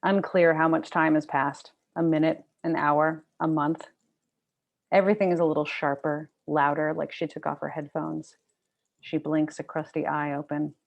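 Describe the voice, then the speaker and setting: Low-voiced woman author with creaky edge. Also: flat delivery, moderate pace, highs cut off. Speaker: debut novelist reading from own book. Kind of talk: literary reading of own fiction.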